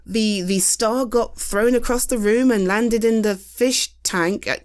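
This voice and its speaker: A woman's voice: on the high side, measured pace. A British woman in a casual roleplay monologue, chatty and rambling, reassuring a friend with self-deprecating humor and casual swearing. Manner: swears casually, laughs often. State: tired. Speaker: audio roleplay performer.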